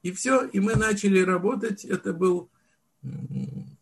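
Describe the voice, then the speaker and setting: An older man, a film director, telling his story in a relaxed interview, often restarting and searching for words. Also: high-pitched, unhurried, creaky, slightly rough. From Soviet Union. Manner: reminiscing, with frequent restarts and word searches. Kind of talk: unhurried storytelling in conversation.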